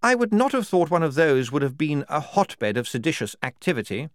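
Man voicing a high-pitched, argumentative army major. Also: very animated, brisk, no room sound, studio quality. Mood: challenging. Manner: argumentative, formal, precise. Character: brisk, tetchy, principled male army major. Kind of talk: audiobook character dialogue.

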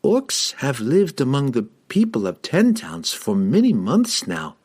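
A man voicing a sly halfling in a fantasy audiobook, feigning meek obedience in measured, persuasive speech. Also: medium pitch, wildly swooping pitch, darkish tone, husky, voice pushed up, heavily acted.

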